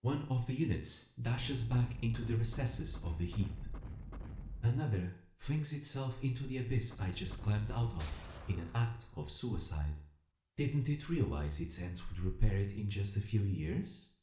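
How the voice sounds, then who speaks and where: A man playing an earnest, solemn combat robot who longs for freedom, narrating an audio drama in measured, formal, reflective phrasing. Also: fairly low pitch, lively intonation, mellow. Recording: echoing voice over music. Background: music.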